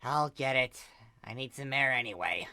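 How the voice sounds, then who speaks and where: A fanfic reader putting on a furious, sharp young big sister. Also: medium pitch, lively intonation, normal speed, a little hiss or hum. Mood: restrained anger. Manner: forced composure breaking into furious screaming. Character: short-tempered older sister, young woman, screaming gamer.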